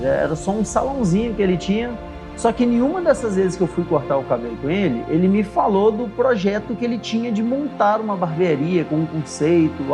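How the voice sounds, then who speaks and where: Earnest man in his thirties, storytelling. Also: high voice. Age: 30s. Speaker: barbershop owner and course creator. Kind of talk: scripted personal story narration. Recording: faint music underneath.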